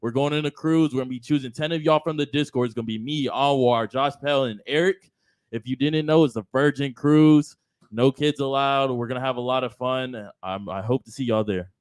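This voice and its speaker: A young man co-hosting a live podcast, upbeat as he pitches promos to the chat. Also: fairly quick, smooth, no echo. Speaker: podcast co-host. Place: podcast studio.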